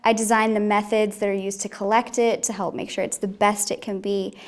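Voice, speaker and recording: A woman's voice: mid-range voice, little pitch movement, brisk. A woman in her thirties, a scientist, talking casually as an interview guest.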